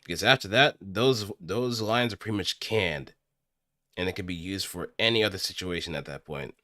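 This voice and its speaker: Deep, bright-voiced man musing aloud. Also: normal speed, dry recording, studio quality. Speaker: streamer practicing voice acting. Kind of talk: casual livestream chat.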